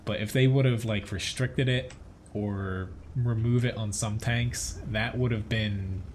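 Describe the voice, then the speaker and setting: A man with a fairly low voice and a Philadelphia accent commentates on his own game for a live stream. His talk is loose and informal, with a mildly exasperated, grumbling way about it. There is some faint noise behind him. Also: fairly even intonation, a little slow. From Philadelphia area, United States. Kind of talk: casual live gameplay commentary.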